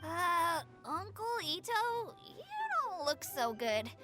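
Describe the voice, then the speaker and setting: A woman's voice: very high voice, sing-song, takes it slowly, over music, full character voice. A woman voicing an eager, innocent little boy who talks in an earnest, pleading, childlike way. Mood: worried. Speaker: game character.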